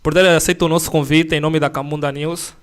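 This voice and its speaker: A man's voice: fairly high pitch, little pitch movement, brisk, clean tone. A man hosting a sports interview show, friendly, laughing often and using slang. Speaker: news show host interviewing.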